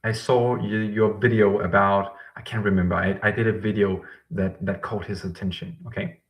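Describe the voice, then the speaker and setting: A man's voice: lowish voice, level tone, normal speed. A man hosting a live-stream question session as a language coach, rambling with frequent ums and self-corrections. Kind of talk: live-stream talk to chat viewers.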